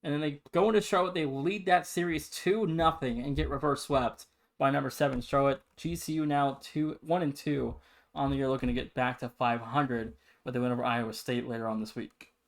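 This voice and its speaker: A man's voice: medium pitch, steady tone, a little fast. A man co-hosting a sports podcast, analyzing results and past seasons in an emphatic, opinionated way.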